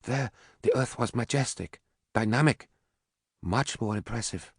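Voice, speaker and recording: A man voicing a soft-spoken wistful woman. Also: mid-range voice, unhurried, slightly rough, no room sound, studio quality, pitched above the performer's own voice. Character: quiet, thoughtful woman, a teacher.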